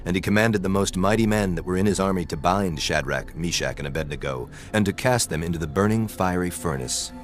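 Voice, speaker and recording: A man narrating dramatized scripture in a solemn, measured storytelling manner, reading scripted archaic English. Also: deep voice. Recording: music bed under the voice.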